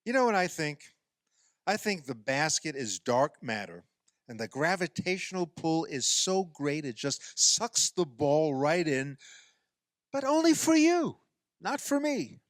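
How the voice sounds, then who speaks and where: A man acts out a self-pitying amateur athlete in a radio drama. His delivery is sarcastic and exasperated, halting and then swinging widely in pitch. The sound is dry, with little room around it. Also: very animated, no echo. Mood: exasperated, sulky. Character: self-pitying, sarcastic male amateur basketball player, sore loser. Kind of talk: radio play dialogue.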